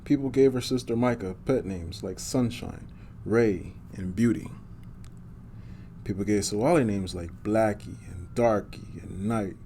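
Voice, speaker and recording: A man's voice: on the low side, measured pace, warm tone, slight background noise. A man reading a picture book aloud to children in a superhero persona, adding small asides as he goes.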